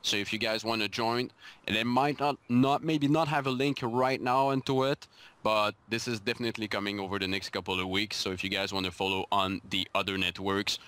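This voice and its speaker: A man from Canada joins a casual online podcast, his voice sitting a little low. He talks eagerly but haltingly, restarting sentences as he asks the listeners questions. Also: fairly even intonation, fairly bright tone, clear, resonant tone. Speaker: podcast co-host. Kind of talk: casual group chat.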